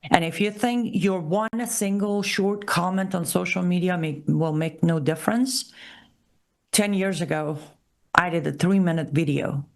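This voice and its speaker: A woman with an Iranian accent hosting a political commentary show, speaking emphatically and repeating key phrases slowly. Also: fairly low pitch, creaky. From Iran. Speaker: show host.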